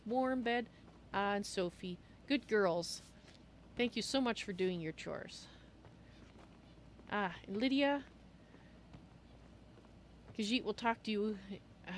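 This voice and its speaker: A woman voicing a gentle, fussy female cat-folk traveler in a game roleplay, musing aloud about herself in the third person. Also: on the high side, a little slow, long pauses, close to an everyday voice. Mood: fond, tired. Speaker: livestream roleplayer. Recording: steady background noise.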